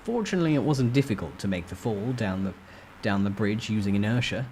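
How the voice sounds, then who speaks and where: A man's voice: on the low side, lively intonation, brisk, a little mellow, slight background noise. A man giving a dramatic first-person storytime reading of a novel. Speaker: narrator reading a novel aloud.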